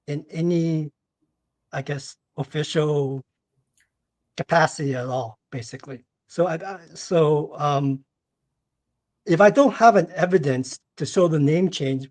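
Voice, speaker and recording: A man with a fairly high-pitched voice speaks as a caller to an advice show, in a dry, echo-free sound. His delivery is casual and halting, often circling back to reword what he means. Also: darkish tone. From Canada, born in Singapore. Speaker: caller with a question. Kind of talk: casual question on a call-in show.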